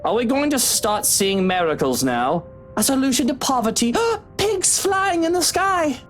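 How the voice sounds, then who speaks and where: An actor playing an arrogant, scornful playboy in a visual novel, with a sarcastic, derisive manner. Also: male voice, very high voice, very animated, a little slow, fairly bright tone, a little hiss or hum, heavily acted. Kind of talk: dramatic game voice acting.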